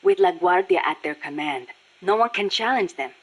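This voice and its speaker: A woman's voice: on the low side, animated, normal speed, breathy edge. A woman voicing a passionate, embittered Nicaraguan Sandinista guerrilla with a Spanish accent in a video game, speaking in a solemn, earnest way. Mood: solemn, proud. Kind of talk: scripted video game voice acting.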